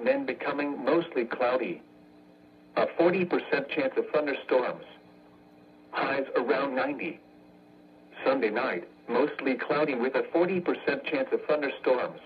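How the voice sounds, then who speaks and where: A man's voice: medium pitch, normal speed. A synthetic, stilted male text-to-speech announcer reads weather forecasts in impersonal, clipped phrases. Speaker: automated weather radio announcer. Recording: dull, noisy weather radio broadcast.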